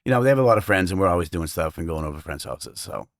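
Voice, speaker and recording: A middle-aged man from south of Boston with a Boston accent, talking about his restaurant as an interview guest, quick and full of fillers. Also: deep voice, lively intonation, no echo, clean studio sound. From Massachusetts, south of Boston. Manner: fast-talking, lots of fillers. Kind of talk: casual interview chat.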